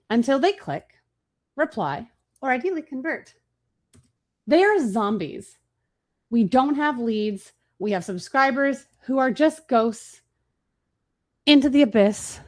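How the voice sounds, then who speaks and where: A woman hosting a marketing webinar, talking fast and casually with fillers and restarts. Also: high-pitched. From Chicago, USA. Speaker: webinar host.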